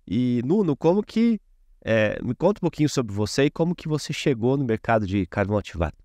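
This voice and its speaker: A man's voice: medium pitch, very animated, a little fast, mellow, dry recording. A man hosting an interview, speaking in a hesitant way with many fillers. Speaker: podcast host.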